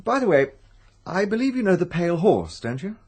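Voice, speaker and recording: Gentleman amateur detective, highly varied pitch, slightly husky, polite, hesitant, radio play. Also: big pitch swings, a little fast, full character voice. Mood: polite, probing. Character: courteous, probing gentleman amateur investigator, cautious and sceptical. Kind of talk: 1940s-style British radio drama acting.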